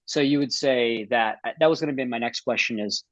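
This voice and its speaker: A man's voice: mid-range voice, fairly quick, fairly bright tone, no room sound. A man in his thirties puts brief, curious questions to his guest. His voice comes dry and a bit dull over a video call from a small home office. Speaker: podcast host.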